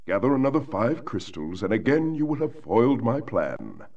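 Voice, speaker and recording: An actor playing a whiny, pompous mad scientist villain in a cartoonish video game, theatrical and self-pitying. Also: male voice, mid-range voice, big pitch swings, normal speed, darkish tone, distant mic, highs cut off. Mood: scheming. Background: game music.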